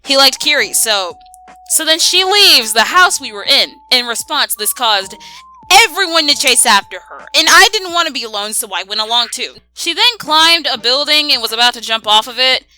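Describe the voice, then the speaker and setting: A woman chatting casually as she answers viewer questions, animated and slangy, given to exclaiming. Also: high voice, moderate pace, rough recording. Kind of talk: casual chat Q&A.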